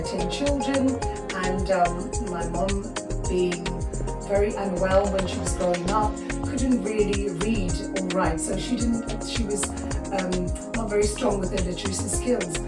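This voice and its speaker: A woman in her fifties with a South African accent recounts her childhood, circling back through fillers and restarts. Her voice sits low and comes from a little way off the mic. The room rings with echo. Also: recorded from a distance. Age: 50s. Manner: hesitant, many fillers and restarts. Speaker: guest. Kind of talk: personal storytelling interview.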